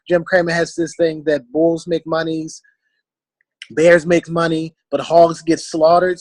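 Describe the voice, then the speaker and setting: A man giving informal advice as a member on a group call, halting as he searches for words. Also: fairly high pitch, dry recording, low-quality recording. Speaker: club member on a conference call. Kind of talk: informal advice, casual talk.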